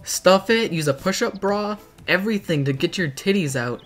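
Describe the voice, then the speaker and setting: Hoarse teenage streamer. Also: male voice, high-pitched, expressive, moderate pace, a bit bright, faint music behind. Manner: rambling, joking, reacts to chat. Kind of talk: casual livestream chat. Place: dim bedroom.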